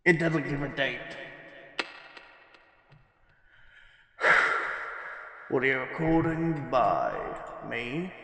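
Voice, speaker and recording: Guy doing a grumpy sweary archivist for a spoof horror podcast, halting delivery, super echoey with long reverb. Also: medium pitch, expressive, pushed and bright, exaggerated voice. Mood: formal. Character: jaded, scornful male archivist recording case statements. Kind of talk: parody audio drama monologue, mock-formal narration.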